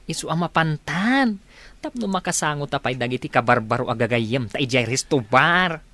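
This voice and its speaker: A man's voice: on the high side, lively intonation, normal speed, pushed and bright, dry recording. Carefree drinking buddy played by a man, swooping intonation. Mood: coaxing, carefree. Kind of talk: radio drama acting.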